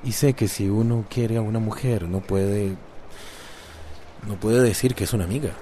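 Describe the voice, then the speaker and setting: An actor with a Costa Rican accent playing a flirtatious, coaxing suitor in a radio drama. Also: male voice, lowish voice, normal speed, mellow, slight background noise, natural voice. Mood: apologetic, earnest.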